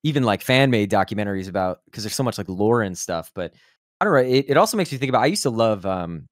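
A man's voice: lowish voice, animated, fast, no echo. A man chatting casually as a podcast panelist into a close microphone. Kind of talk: casual chat. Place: indoor room, close mic. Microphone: microphone on boom arm, headset.

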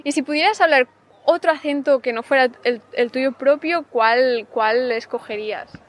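High-voiced woman interviewing on a street. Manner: brisk, encouraging, quick follow-up questions. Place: city sidewalk outdoors. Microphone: handheld mic.

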